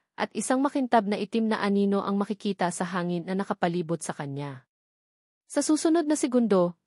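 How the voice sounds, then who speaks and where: A woman narrator reads a scripted novel aloud. Her pitch sits in the middle range for a woman. The sound is very dry, with no room around the voice. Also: darkish tone, dry recording. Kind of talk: scripted audiobook-style narration of a web novel.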